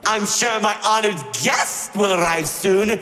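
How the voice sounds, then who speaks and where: An actor voicing a vain, flamboyant cartoon showman villain who is grandiose, melodramatic and gleefully cruel, often swinging into shouts. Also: medium pitch, a little slow. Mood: showy, menacing. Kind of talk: theatrical cartoon villain monologue. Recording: echoey hall reverb under clear music.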